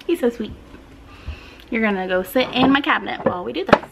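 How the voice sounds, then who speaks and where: A woman vlogging casually while sick, her voice raspy and hoarse, tired and short of breath, with small laughs between sentences. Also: a little high, lively intonation, brisk, very noisy. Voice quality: raspy, weak voice. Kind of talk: casual vlog chat.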